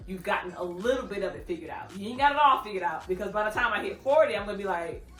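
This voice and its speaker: Bright-voiced chatty woman in her thirties. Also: mid-range voice, expressive, quick pace. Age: 30s. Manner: chatty and playful, laughs often. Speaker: vlogger, channel host. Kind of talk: casual advice talk to camera. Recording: echoey room with faint music.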